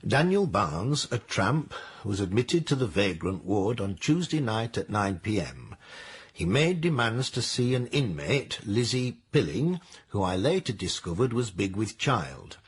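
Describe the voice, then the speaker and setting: Slow, stiff Victorian workhouse official. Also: male voice, on the low side, sing-song, breathy edge, heavily acted. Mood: formal, officious. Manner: stiff, officious, measured reading aloud. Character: formal Victorian workhouse official reading out a report. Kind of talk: radio drama acting.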